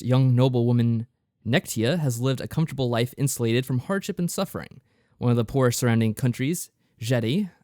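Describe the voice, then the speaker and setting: A man's voice: medium pitch, a little mellow, no room sound, clean studio sound. A man co-hosting a podcast, explaining things in a casual, loosely phrased review style. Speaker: podcast co-host.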